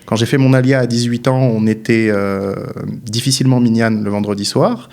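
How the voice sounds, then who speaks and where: A man in his thirties from France, a guest answering interview questions calmly and matter-of-factly. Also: medium pitch, moderate pace. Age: 30s.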